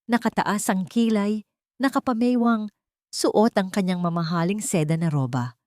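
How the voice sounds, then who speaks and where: A woman's voice: medium pitch, moderate pace, darkish tone, no room sound, studio quality. A woman storyteller reading a scripted, dramatic story narration.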